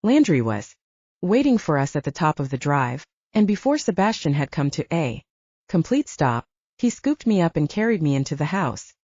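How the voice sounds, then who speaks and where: A woman's voice: low voice, sing-song, moderate pace, a little mellow, slightly rough, no room sound. A woman reading scripted first-person fiction as an audiobook narrator, acting out the dialogue in a dull-sounding recording.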